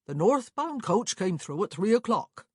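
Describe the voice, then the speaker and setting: A woman's voice: medium pitch, very animated, normal speed, darkish tone, slightly rough, no room sound, clean studio sound, voice pushed up. A woman voicing a nosy, officious male stagecoach clerk in an audiobook, chatty and rambling. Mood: smugly triumphant. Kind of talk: acted audiobook dialogue.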